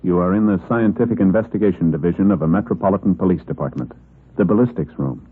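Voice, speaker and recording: An American man reading a scripted 1950s radio commercial as an announcer, with emphatic, deliberate salesmanship. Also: sits low, slightly rough, faint music behind. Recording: muffled old radio recording.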